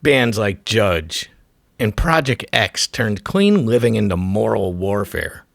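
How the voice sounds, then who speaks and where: Gravelly, animated man narrating a documentary. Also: fairly low pitch, takes it slowly, no room sound, studio quality. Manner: punchy, dramatic short phrases. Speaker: host of a music history show.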